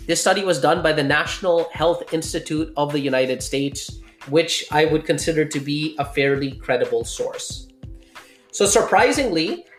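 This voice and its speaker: A podcast host in their late thirties explaining health topics in a casual way, often checking in with the listener. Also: mid-range voice. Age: 30s. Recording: roomy echo with faint music.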